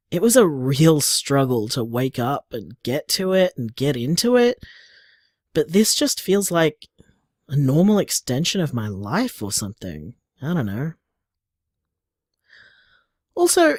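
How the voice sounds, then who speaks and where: A man's voice: fairly high pitch, wildly swooping pitch, measured pace, dry recording, studio quality, heavily acted. A man playing an affectionate, playful young bar owner, chatting casually as if leaving voicemails in an audio drama. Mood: tender, reflective.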